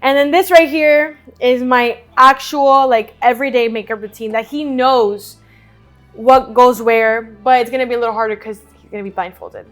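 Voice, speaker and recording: A young woman from Colombia, raised in Miami, teases her friend with skeptical, laughing remarks. Her voice sits a bit high. Faint music plays underneath. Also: fairly even intonation, moderate pace. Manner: teasing, laughs often, skeptical. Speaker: co-host and makeup guest. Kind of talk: casual teasing vlog chat.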